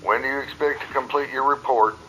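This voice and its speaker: A man's voice: medium pitch, steady tone, brisk, over music, lightly acted, low-quality recording. A man playing a businesslike starship radio officer in a radio drama, terse and official. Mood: insistent.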